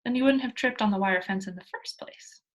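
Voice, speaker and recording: A woman voicing a gentle, sensible little girl from a children's storybook, with an earnest, questioning manner. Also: medium pitch, a little fast, muffled recording, lightly acted. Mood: reasoning. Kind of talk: children's storybook character read aloud.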